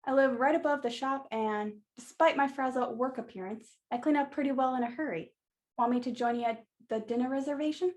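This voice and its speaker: A woman's voice: high voice, a little fast, close to an everyday voice. A woman acting in a radio play as a friendly, flirtatious florist, casual, wry and sympathetic. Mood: lightly flirtatious.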